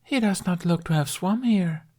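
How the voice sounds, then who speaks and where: A man voicing a serene, curious young mermaid in a smooth voice with formal, old-fashioned phrasing. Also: high-pitched, normal speed, a little mellow, voice pushed up. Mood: curious, delighted.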